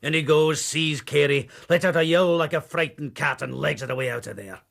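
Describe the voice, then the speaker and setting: Gravelly, sardonic old-time whaling harpooner. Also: male voice, fairly high pitch, brisk, a bit bright. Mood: defiant, wry. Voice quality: gravelly, husky. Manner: blunt sailor's colloquial talk. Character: rough, sardonic whaling harpooner confessing a killing. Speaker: actor in radio drama. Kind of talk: radio drama acting.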